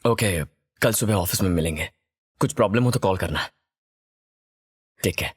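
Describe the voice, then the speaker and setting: A man's voice: sits low, lively intonation, unhurried, slightly rough, soft background music, full character voice. A man playing a protective, reassuring partner in a thriller film. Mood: calm reassuring. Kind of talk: film drama acting.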